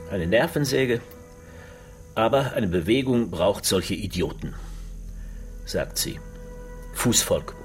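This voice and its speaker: An actor playing a male investigator in a radio play, reading a diary aloud in a deliberate, pointed way. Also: on the low side.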